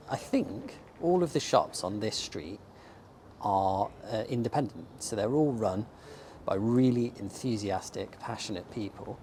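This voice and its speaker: A man's voice: fairly low pitch, darkish tone, a little hiss or hum. A man from England, slightly losing his voice, chatting enthusiastically as he films himself walking up a sunny outdoor shopping street. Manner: chatty and enthusiastic, with frequent ums. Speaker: bookshop owner and vlogger. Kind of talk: casual walking tour vlog. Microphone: handheld camera filming himself while walking. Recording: faint outdoor street ambience.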